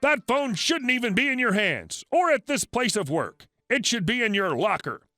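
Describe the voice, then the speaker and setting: Man putting on a high, screechy, furious entitled woman. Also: sing-song, moderate pace, no room sound, studio quality, soft background music, full character voice. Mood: indignant, bossy. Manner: demanding, shouting, indignant.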